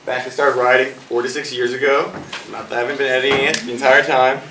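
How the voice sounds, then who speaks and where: A man's voice: medium pitch, little pitch movement, fast talker. A man in his twenties, a playwright and director, speaking informally and jokily at an audience Q&A. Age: 20s. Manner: rambling, jokey. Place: indoor performance space, recorded from afar. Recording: echoey room, distant mic, noisy.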